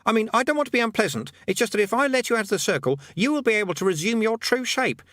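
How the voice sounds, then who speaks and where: A man voicing a scrawny, excitable male demonologist who commands in stammering, pompous archaic words. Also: high-pitched, fast, dry recording, clean studio sound, voice pushed up. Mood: excited, pompous. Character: scrawny excitable middle-aged male demonologist, mad and pleading. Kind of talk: audiobook character voice.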